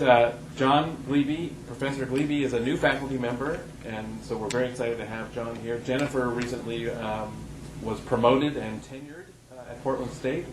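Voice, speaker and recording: A middle-aged man giving an informal, halting seminar introduction as host, reading partly from notes. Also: medium pitch. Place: university lecture room, indoors. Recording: roomy, echoey lecture room with clear noise.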